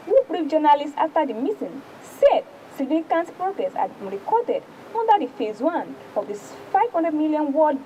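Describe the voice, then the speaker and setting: High-pitched Nigerian woman reading the news. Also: mellow, a little hiss or hum, poor audio. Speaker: presenter reading newspaper review. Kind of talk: news reading and paper review.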